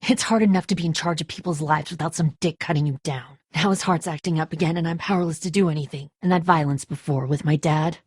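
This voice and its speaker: Tough stressed survivor boss lady in a zombie game, lowish voice, blunt and protective, super dry sound. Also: steady tone, quick pace, hardly any pauses, breathy edge, dry recording. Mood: bitter, stressed. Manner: blunt, urgent, commanding. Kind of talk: dramatic video game voice acting.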